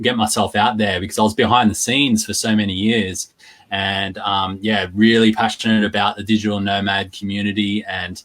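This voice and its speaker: Low-voiced Australian man, conversational. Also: a bit bright. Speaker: interview guest, digital marketing entrepreneur. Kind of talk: conversational self-introduction and explanation.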